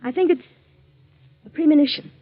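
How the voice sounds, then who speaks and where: An actress playing a suspicious, frightened bedridden wife in a 1940s radio mystery, intense and melodramatic. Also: female voice, high voice, fairly quick, lightly acted. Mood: anxious, insistent. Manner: intense, melodramatic, tremulous when afraid. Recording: dull vintage 1940s radio recording.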